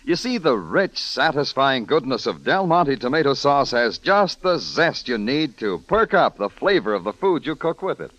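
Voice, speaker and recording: Animated male vintage radio announcer. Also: medium pitch, moderate pace, hardly any pauses, dry recording. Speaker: radio announcer and sponsor pitchman. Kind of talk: 1940s radio commercial read. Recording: dry, muffled old radio broadcast.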